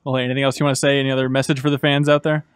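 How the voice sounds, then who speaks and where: Guy in his 30s hosting a podcast, talks quick, asks casual questions, mid pitch, super dry mic. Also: level tone, clear, resonant tone, no echo. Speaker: podcast host, interviewer. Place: podcast room with brick wall and shelves, indoors. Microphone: desk studio microphone, close.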